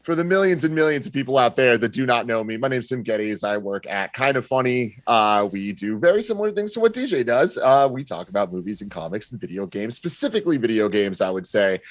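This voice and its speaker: A man's voice: medium pitch, animated, quick pace, few pauses, no echo. A man speaking as a podcast guest, chatting informally and with enthusiasm. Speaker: podcast guest, games and movies commentator. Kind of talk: casual chat.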